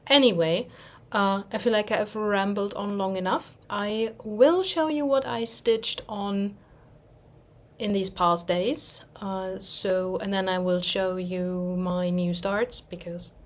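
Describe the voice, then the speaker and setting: A young German woman speaking English as a second language, chatting in a loose, rambling way. She pauses now and then to hunt for a word and laughs at herself. Her voice sits at an ordinary middle pitch. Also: steady tone. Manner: rambling, self-deprecating, searches for words. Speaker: cross-stitch vlogger. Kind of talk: casual chat.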